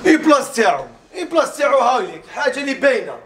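A man in his thirties with an Algerian accent, mixing in French words as he presents a sofa set in a small furniture showroom with an upbeat, laughing sales pitch. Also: very animated, very fast, crowd noise. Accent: Algerian Arabic with French mixed in. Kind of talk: casual product showcase video.

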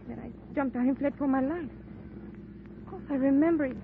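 A woman's voice: high-pitched, fairly quick. A woman playing an alluring, pleading femme fatale in trouble, acted dramatically in 1940s radio style. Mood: pleading, dramatic. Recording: tinny, faintly noisy old radio recording.

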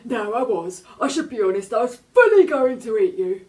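A woman doing a high, excitable male shark. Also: moderate pace, far from the mic. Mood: eager. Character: nervous chatty male shark, eager to please.

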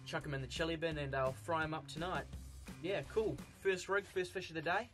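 A man's voice: a little high, fairly quick. A young man with a New Zealand accent, chatting casually while fishing from an outdoor wharf. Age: young adult. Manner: laid-back, chatty, excitable when hooking fish. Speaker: fishing vlogger. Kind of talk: casual vlog commentary. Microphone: camera-mounted mic, outdoors. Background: music.

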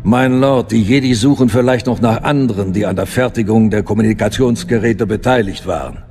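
An actor playing a dignified old Sith apprentice in a radio drama, measured, formal and deferential. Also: male voice, fairly low pitch, darkish tone, faint music behind, natural voice. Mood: grave, attentive. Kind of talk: German science-fiction radio drama acting.

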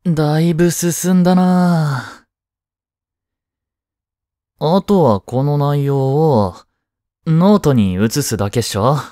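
A man's voice: fairly high pitch, slow, long pauses, mellow, no room sound, studio quality. A man playing a flustered teenage boy jealous over his childhood friend in a romantic voice drama, boyish and hesitant. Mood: casual, friendly. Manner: boyish, hesitant, muttering to himself. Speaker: amateur voice-drama actor. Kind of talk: Japanese romantic voice drama acting.

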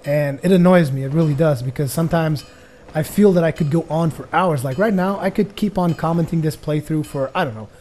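A young man from Portugal, a native Portuguese speaker, giving jokey rambling let's play commentary on a headset as his voice grows tired and hoarse. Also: on the high side, brisk, a little mellow, slight background noise. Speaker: gaming commentator.

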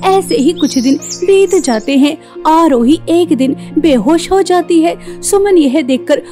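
Female horror narrator: extremely high yet mellow, unhurried scripted storytelling over quiet music. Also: animated.

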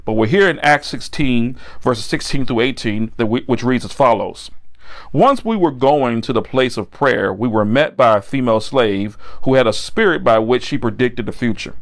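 A man preaching and praying as a pastor, earnest and deliberate in his phrasing. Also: medium pitch, expressive. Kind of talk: sermon and spoken prayer.

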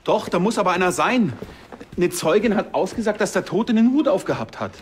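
Lively, high-ish policeman. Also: fairly quick, slight background noise. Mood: insistent. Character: hurried, insistent junior policeman.

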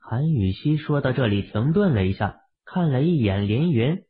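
A man's voice: mid-range voice, animated, moderate pace, husky. A man narrating a novel as a scripted dramatic audiobook. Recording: muffled, phone-like, lo-fi audio.

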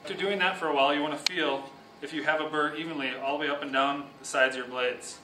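A young man calmly explaining, step by step, how to sharpen speed skates, pausing now and then to restart a phrase. He is recorded in a large office room with a whiteboard. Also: on the high side, recorded from a distance, noisy background. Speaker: speed skating sharpening instructor. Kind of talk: instructional demonstration. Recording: echoey room, voice from off-mic.

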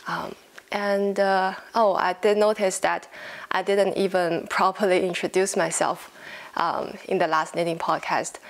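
Female vlogger, 20s, Chinese accent, lowish pitch with vocal fry, informal unscripted chat. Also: little pitch movement, measured pace. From China. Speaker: knitting podcast host. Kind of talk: casual chat to camera. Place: living room at home, indoors.

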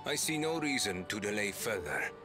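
A man's voice: on the low side, sing-song, normal speed, music in the background. An actor playing a cold, imperious male warrior in a video game, curt and formal. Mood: cold, impatient. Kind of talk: scripted video game cutscene acting.

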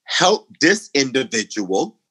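A middle-aged man from the Bahamas with a Bahamian accent, a guest in a conversational interview, talking emphatically and climbing in pitch when he makes a point. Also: big pitch swings, slow pace, bright, forceful tone, breathy edge, low-quality recording. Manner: emphatic, rising to a preaching lilt when making a point.

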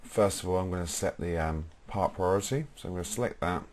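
A young British man presenting a software tutorial, explaining informally step by step in a husky voice. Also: deep voice, brisk, a little hiss or hum. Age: young adult.

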